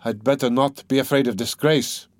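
A brooding, proud young man in a literary drama, played by a male narrator. He is worn out and bitter, speaking with halting intensity at a middle pitch, dry and close. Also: darkish tone, no room sound, close to an everyday voice. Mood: grim resolve.

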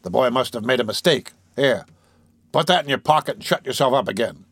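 A man's voice: medium pitch, lively intonation, fairly quick, fairly bright tone, husky, dry recording, studio quality. A man voicing a blustering, irritable doctor in an audiobook, brusque and bustling. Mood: curt. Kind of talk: audiobook character voice.

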